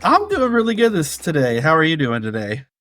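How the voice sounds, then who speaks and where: A man's voice: on the high side, huge pitch swings, fairly quick, fairly bright tone, dry recording, soft background music. Man chatting, dry close sound. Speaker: podcast co-host. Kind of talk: casual chat.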